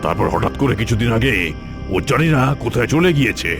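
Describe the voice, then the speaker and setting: A vengeful cartoon sorcerer, acted by a man, speaks in a gravelly voice over prominent music. He is scornful and commanding, scolding like a master plotting revenge. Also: medium pitch, lively intonation, fast talker. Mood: brooding, vengeful. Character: scheming, vengeful male tantric sorcerer, scornful villain. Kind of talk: dramatic cartoon voice acting. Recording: music behind the voice.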